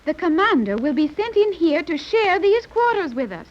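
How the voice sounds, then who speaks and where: A proper, self-assured young woman in a 1930s radio adventure. Her voice is high and very animated, with formal, exact phrasing, on a dull vintage recording. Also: moderate pace. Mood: formal explaining. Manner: formal, precise diction, few contractions. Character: precise, self-assured, proper young daughter among castaways. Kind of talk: 1930s radio serial acting.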